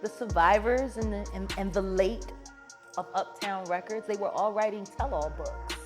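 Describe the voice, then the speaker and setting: A woman's voice: mid-range voice, normal speed. A woman talking casually and with strong opinions, blunt and profane, pressing her points with emphatic rhetorical questions. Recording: music behind the voice. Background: music.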